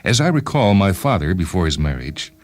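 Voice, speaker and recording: A man's voice: low-pitched, sing-song, a little fast. A man voicing a pedantic, self-absorbed inventor in his thirties, narrating a science-fiction story in the first person. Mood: pedantic, self-satisfied. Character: obsessive thirty-two-year-old time-travelling inventor, pedantic, coolly murderous. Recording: music behind the reading.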